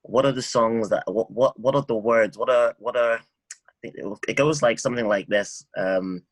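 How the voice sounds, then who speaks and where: A man speaking as a songwriter guest in an interview, reflective and halting, often repeating words as he thinks. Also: mid-range voice, no room sound.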